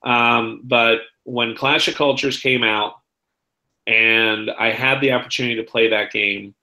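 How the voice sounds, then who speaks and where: A man's voice: mid-range voice, fairly even intonation, a little slow, pushed and bright. A man chatting casually and enthusiastically about board games, speaking with emphasis as a guest on a talk show. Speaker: podcast guest presenting a top ten list. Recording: roomy echo on the voice.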